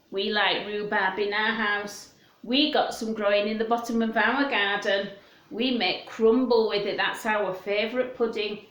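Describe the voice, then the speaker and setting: A woman storyteller reading expressively to young children, with gently questioning asides, in an echoey room. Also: medium pitch. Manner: animated, gently questioning, addressing small children.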